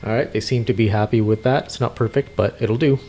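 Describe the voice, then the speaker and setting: A man's voice: lowish voice, fairly even intonation, brisk, mellow, a little hiss or hum. A man chatting casually and unscripted as he plays a trucker in a game, easygoing and a little hesitant. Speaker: gaming host playing a trucker. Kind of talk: casual unscripted gameplay chat.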